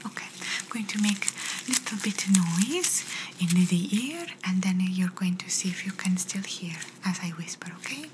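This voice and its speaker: A woman playing a gentle, reassuring doctor in an ASMR exam roleplay, speaking in a soft breathy whisper with slightly phrasing. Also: fairly low pitch, moderate pace, lightly acted. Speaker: ASMR performer. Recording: noisy close-up whisper recording.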